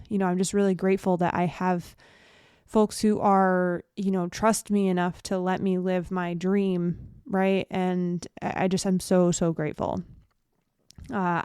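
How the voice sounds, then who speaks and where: A woman's voice: on the low side, a little mellow, clean tone, no room sound, clean studio sound. A young adult woman hosting a solo podcast, chatting casually and rambling with fillers and restarts, sounding a bit stuffy and nasal. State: congested. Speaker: podcast host.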